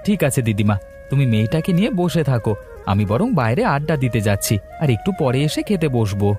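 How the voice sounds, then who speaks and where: A man voicing a young, scheming village rogue in a cartoon, expressive and conversational. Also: medium pitch, fast, music in the background, natural voice. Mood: cajoling. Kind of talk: cartoon fairy-tale voice acting.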